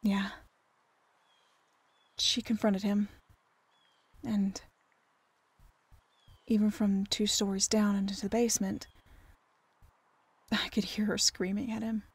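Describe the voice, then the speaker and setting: Troubled young female waitress, acted, ASMR-style audio drama. Slow, hushed, breathy delivery, very dry close sound. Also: mid-range voice, level tone, no echo. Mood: shaken, hushed. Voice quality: breathy, often near a whisper. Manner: halting, hushed. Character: troubled, wounded young waitress daughter confiding a secret.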